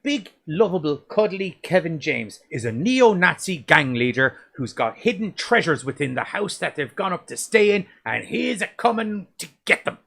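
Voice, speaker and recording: Male podcast co-host, deep voice, loose rambling delivery with casual swearing. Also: sing-song, normal speed, creaky, few pauses, sharp tone, breathy edge. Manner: sweary, rambling, restarts often. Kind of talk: casual movie review chat.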